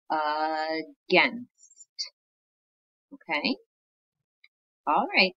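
Slow patient woman teacher reading aloud. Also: sits low, bright, forceful tone, dull audio. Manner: slow, patient, sounding out words. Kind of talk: reading aloud to young children.

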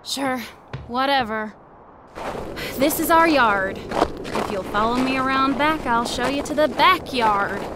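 A woman's voice: high-pitched, expressive, a little slow, very noisy. A woman playing a casual, easygoing young farm daughter in a comic dub. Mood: nonchalant.

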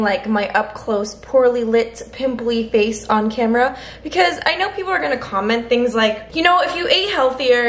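A woman's voice: on the high side, fairly bright tone. A young woman in her twenties chatting casually through a home cooking vlog, upbeat and thinking aloud as she works, filmed close on a handheld camera in a small apartment. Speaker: vlogger. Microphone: handheld camera close to face. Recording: echoey room with faint background noise.

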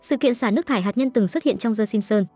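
A woman's voice: on the high side, fast. A woman reading scripted entertainment news as a narrator. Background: background music.

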